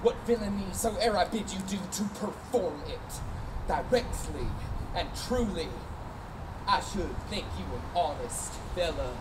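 A young actor playing an arrogant, bullying prince on a theater stage, sneering and threatening with mocking laughs. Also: male voice, sits high, lively intonation, measured pace. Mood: scheming. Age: young adult. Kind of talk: live stage Shakespeare acting. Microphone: distant room mic. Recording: distant stage sound with noise.

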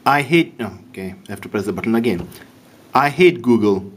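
A man's voice: fairly low pitch, lively intonation, a little fast. A man with a Pakistani accent casually narrating a phone app demo, making amused comments on its answers. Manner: chatty, amused running commentary. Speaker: app demonstrator.

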